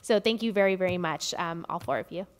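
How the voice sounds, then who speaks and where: A woman chairing a public commission meeting, speaking politely and in a measured way, with frequent fillers. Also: fairly low pitch, clear, resonant tone. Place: community hall meeting room. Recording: long reverb of a meeting hall.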